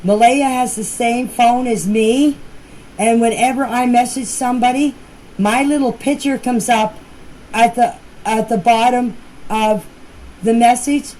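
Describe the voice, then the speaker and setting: A woman with a slightly high voice talks informally, as if leaving voice messages on her phone. She explains in a halting way and often circles back to repeat herself. There is some faint noise in the recording. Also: fairly even intonation, darkish tone. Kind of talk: informal voice messages to a group chat. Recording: noisy phone voice clips.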